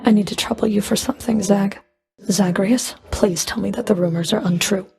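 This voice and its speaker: Woman acting as female underworld fury, video game character, gravelly creak, cool, sardonic, clipped, commanding. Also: mid-range voice, a little fast, dark tone, lightly acted. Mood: urgent, reproachful.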